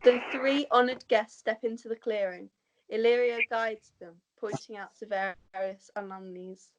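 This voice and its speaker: A woman's voice: fairly high pitch, fairly even intonation, unhurried, lo-fi sound, a little hiss or hum. A woman narrating the stage directions of a script at an amateur table read.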